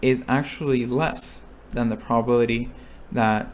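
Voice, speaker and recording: Young male instructor, mid pitch, explains step by step, restarts often, faint hiss. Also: fairly even intonation, moderate pace. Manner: thinks aloud with frequent restarts and checks in with listeners. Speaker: recitation instructor. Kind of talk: explaining a lecture topic.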